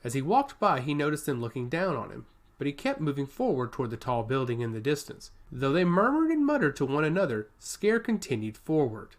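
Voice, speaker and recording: Man narrating fantasy, lively swooping pitch. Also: fairly quick. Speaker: audiobook narrator. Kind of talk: scripted fantasy story narration.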